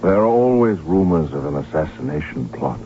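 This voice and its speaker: Deep-voiced, stubborn archduke. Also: male voice, lively intonation, moderate pace, lo-fi sound, a little hiss or hum. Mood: firm, tender. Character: stubborn, weary Archduke, devoted husband. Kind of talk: 1970s radio drama acting. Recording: band-limited old radio broadcast with music.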